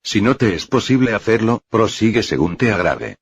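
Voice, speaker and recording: A man reading the lines of a gentle female alien healer, speaking softly and in a measured, kindly way. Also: fairly low pitch, sing-song, breathy edge, no room sound, exaggerated voice. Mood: serene. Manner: soft, measured, kindly speech. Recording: dull lo-fi dry recording.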